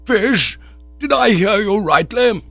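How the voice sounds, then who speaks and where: A man voicing a pompous, indignant elderly gentleman who speaks in stiff, formal sentences in an audiobook. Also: high-pitched, animated, slow, creaky, no room sound, highs cut off, soft background music, pitched above the performer's own voice, exaggerated voice. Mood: outraged. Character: pompous elderly male nerve specialist.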